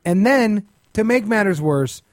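A man's voice: high voice, animated, measured pace, dry recording, studio quality. A man co-hosting a podcast in casual comedy chat, ranting with crude, sarcastic scorn. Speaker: podcast co-host.

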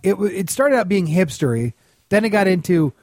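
A man's voice: high voice, very animated, brisk, darkish tone, no room sound. A man giving casual comedic podcast commentary as a guest co-host, sardonic and opinionated.

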